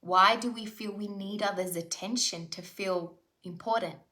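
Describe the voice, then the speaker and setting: A middle-aged woman runs a live self-development session online. Her voice is bright and forward. She speaks conversationally and keeps pulling listeners in with rhetorical questions and quick check-ins. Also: medium pitch. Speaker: spiritual life coach. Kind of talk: live online workshop talk.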